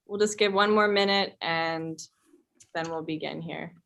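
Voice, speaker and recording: A woman's voice: low voice, steady tone, unhurried, creaky, a bit bright, muffled recording. A woman reporter co-hosting an online town hall, speaking informally with some scripted introductions. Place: online video call.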